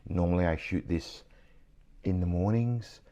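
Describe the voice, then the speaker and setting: A middle-aged man with a croaky voice, hosting a vlog and talking through industry news quietly at night, with bursts of excitement over the numbers. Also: low voice, measured pace. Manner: keeps voice hushed and quiet; enthusiastic, excitable about numbers. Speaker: CEO and vlog host. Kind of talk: casual news commentary vlog. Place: indoors at night.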